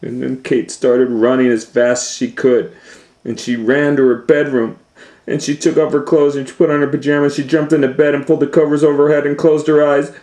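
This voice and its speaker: A man reading a children's storybook aloud as host, in an animated storytelling style. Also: fairly high pitch, fairly quick. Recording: roomy echo.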